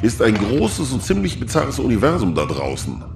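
A man's voice: mid-range voice, huge pitch swings, normal speed, gravelly, noisy background. An actor playing a cocky, wry spaceship crewman with a sardonic, teasing manner in a science fiction audio drama. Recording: echoey, music behind.

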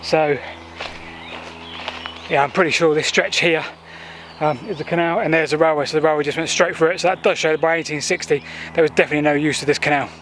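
A man's voice: a little high, steady tone, quick pace, pauses often. A man, explaining local history in a chatty way while walking outdoors with a handheld camera. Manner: chatty, explanatory, with thinking-aloud fillers. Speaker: history vlogger. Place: outdoors by a canal path and beach. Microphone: handheld selfie camera at arm's length. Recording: faint outdoor noise.

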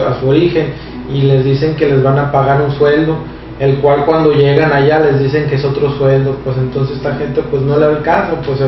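A man, a shelter administrator explaining his work in a casual interview, hesitating often with fillers and restarts, recorded in a small office. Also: a little high. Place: small office with a computer, indoors. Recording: distant, echoey and dull camera audio with background noise.

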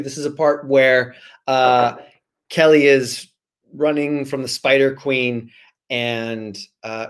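A man in his forties speaking as a guest author on a video call, chatting casually and reading from a storybook. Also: mid-range voice. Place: small room at home, indoors. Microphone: video call, computer at arm's length.

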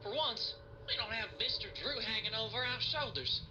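A man's voice: high voice, normal speed, pushed and bright, faint music behind. An actor playing a chatty, cheerful male animator with a folksy, gossipy way of talking. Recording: muffled lo-fi sound.

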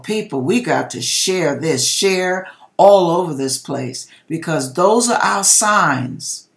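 A middle-aged American woman reads dramatically to her viewers from her kitchen. Her voice sits slightly low and swings widely in pitch. She takes her time, stretching words for theatrical emphasis. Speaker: host reading viewers' dream accounts. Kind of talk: dramatic reading and direct address to viewers. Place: home kitchen, indoors.

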